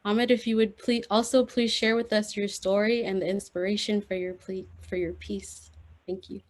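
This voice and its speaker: A woman hosts an online spoken word event over a video call. She speaks in a gentle, appreciative way as she thanks a performer and introduces the next one. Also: medium pitch, flat delivery, moderate pace. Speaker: event host.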